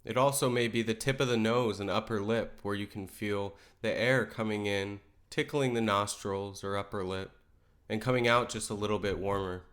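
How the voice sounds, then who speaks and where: A man leading a guided meditation in a calm, gentle, unhurried way, letting his phrases settle softly. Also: fairly low pitch. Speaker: meditation teacher.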